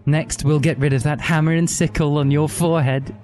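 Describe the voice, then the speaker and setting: A man's voice: fairly high pitch, steady tone, brisk, a little mellow, faint music behind, voice pushed up, natural voice. Man as a sadistic gloating female warden. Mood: cruel. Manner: mocking, cruel.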